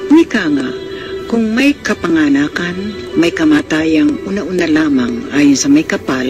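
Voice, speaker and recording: High-voiced Filipino radio drama host, a man. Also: lively intonation. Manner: florid, formal, deliberate phrasing. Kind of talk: formal scripted classic radio drama narration. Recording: radio broadcast with music behind. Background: music.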